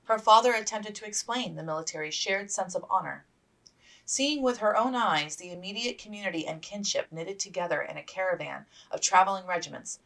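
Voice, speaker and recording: A woman's voice: on the low side, creaky, a bit bright, far from the mic. A woman reading scripted fiction aloud as an author narrating a period novel.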